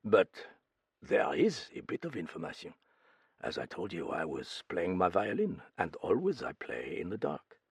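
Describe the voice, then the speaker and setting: Theatrical older Frenchman, gravelly and lively, as a male reader puts him on. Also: low-pitched, unhurried, no room sound, studio quality, exaggerated voice. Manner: theatrical, mannered.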